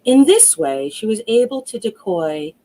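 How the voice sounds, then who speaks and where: A woman narrating a fairy tale as a storyteller, deliberate and dramatic. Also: fairly high pitch, very animated, gravelly, dark tone, low-quality recording.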